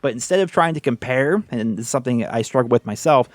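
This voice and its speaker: A man's voice: mid-range voice, expressive, fast talker, dry recording. A young man chatting casually as a gaming YouTuber, rambling and candid with lots of "you know" and "right". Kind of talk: casual commentary video.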